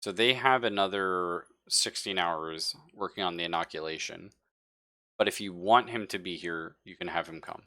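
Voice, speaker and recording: A man's voice: low voice, expressive, moderate pace, creaky, sharp tone. A man casually wrapping up a tabletop game session as game master, slangy and playful.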